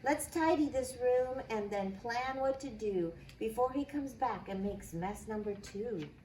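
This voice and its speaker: A woman reads a children's story aloud in a slightly high voice. Her pace is slow, with deliberate, dramatic phrasing. The room is echoey, with faint noise in the background. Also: dark tone. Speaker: school staff reading a picture book aloud.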